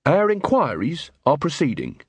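Man as an earnest watch officer, raised lively voice. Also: on the high side, moderate pace, slightly rough, no echo, voice pushed up, full character voice. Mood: calm, assured. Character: calm, earnest, commanding male watch officer. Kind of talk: audiobook character voice.

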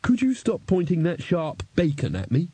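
A self-possessed sixteen-year-old girl, played by a male reader. She answers crisply and evenly in a raised voice with a husky edge. The sound is dry and close. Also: fairly high pitch, huge pitch swings, moderate pace, mellow, no echo, pitched above the performer's own voice, exaggerated voice. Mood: composed.

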